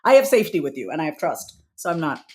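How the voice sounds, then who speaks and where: Animated New York woman coaching. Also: low voice, fast talker. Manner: animated, encouraging, coaching, laughs often. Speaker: podcast guest, coach. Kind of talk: casual podcast conversation.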